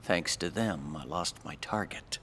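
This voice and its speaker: An actor playing a cynical ex-military fugitive pilot, giving a sardonic, menacing account with a gravelly voice in a game cutscene. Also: male voice, low voice, measured pace, a bit bright. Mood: wry, bitter. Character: cynical fugitive ex-air force assassin pilot. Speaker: former fighter pilot interviewed. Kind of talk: dramatic documentary-style interview acting. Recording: clear background noise.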